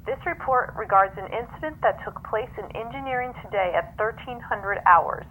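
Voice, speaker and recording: Higher-pitched formal woman on recorded playback acted. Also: normal speed, sharp tone, rough recording. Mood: formal, official. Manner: formal, reporting. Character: female crew member formally dictating an incident report. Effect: radio-like playback filter. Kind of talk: science fiction audio drama acting.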